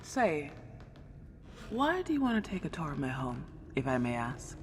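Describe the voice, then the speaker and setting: A woman's voice: deep voice, very animated, normal speed. A woman playing a friendly, polite and lonely ghost girl a century old, chatting in a genteel, old-fashioned way in an ASMR roleplay drama. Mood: chatty, apologetic. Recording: long reverb, clear background noise.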